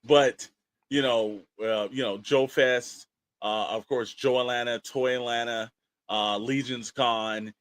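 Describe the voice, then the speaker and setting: A man's voice: mid-range voice, steady tone, slow pace. An American man co-hosting a podcast in a casual, chatty style, speaking informally and repeating phrases for emphasis. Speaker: podcast co-host.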